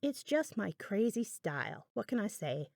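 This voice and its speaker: A woman's voice: mid-range voice, very animated, moderate pace, vocal fry, darkish tone, dry recording, clean studio sound. A woman hosting a craft video in a casual, unscripted voiceover, musing aloud in a chatty, self-deprecating way. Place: indoors, voice-over recorded apart from the video.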